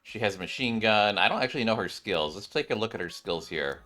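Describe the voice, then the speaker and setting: A man hosting a gaming channel with casual, excitable commentary, often exclaiming and repeating his phrases. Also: lowish voice, quick pace. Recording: music behind the voice.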